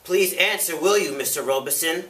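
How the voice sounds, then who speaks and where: Stern congressional interrogator acted by a man in a recital, official, insistent, bright forceful voice, echoey space. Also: on the high side, normal speed, close to an everyday voice. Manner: official, formal, pressing. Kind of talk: dramatic prose interpretation. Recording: echoey room, picked up from far off.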